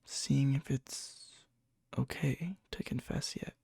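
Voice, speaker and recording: Man playing a breathy, gravelly needy lovesick friend. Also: mid-range voice, steady tone, slow pace, dry recording, heavily acted. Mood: coy, hesitant. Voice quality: breathy, soft, fry-edged. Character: needy, lovesick, obsessive young male friend confessing indirectly. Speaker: ASMR roleplay performer. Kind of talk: whispery ASMR roleplay, intimate storytelling.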